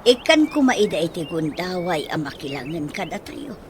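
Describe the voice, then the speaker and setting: A woman's voice: lowish voice, big pitch swings, normal speed. A woman acting an earnest mother in an Ilocano radio drama, with an Ilocano accent. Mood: gentle, solemn. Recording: noisy radio drama recording, ambient sound effects.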